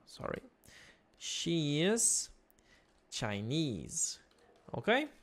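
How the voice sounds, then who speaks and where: A young man with a Brazilian Portuguese accent, an English teacher slowly explaining a lesson in a recorded video and checking in with his students. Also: on the high side, wildly swooping pitch, a bit bright. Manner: slow, patient explaining with check-in questions.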